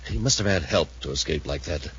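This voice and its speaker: An actor playing a troubled male scientist in a 1950s radio adventure, speaking in a measured, solemn, formal way. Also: sits low, animated, husky, heavily acted. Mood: troubled, disbelieving. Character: grave, troubled male scientist, a learned doctor. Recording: muffled 1950s radio recording.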